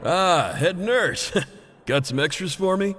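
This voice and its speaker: An actor playing a friendly, easygoing, obliging cook in a video game. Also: male voice, sits high, expressive, slow pace, noisy background. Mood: cheerful. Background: faint background music.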